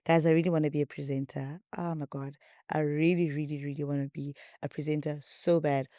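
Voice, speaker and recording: A young South African woman with a deep, warm, mellow voice, chatting to her listeners in a rambling, repetitive way. Her voice is recorded dry and close, with no room sound. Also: little pitch movement, brisk, dry recording. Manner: rambling, repetitive, chatty, says she talks a lot. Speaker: podcast host. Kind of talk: casual chat to viewers.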